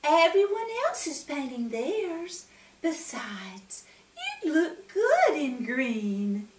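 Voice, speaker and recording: Twiggy, a woman doing a sweet high girl. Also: huge pitch swings, extremely slow, heavily acted. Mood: sweet coaxing. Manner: sweet, coaxing, breathy-soft at times. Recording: roomy, distant mic.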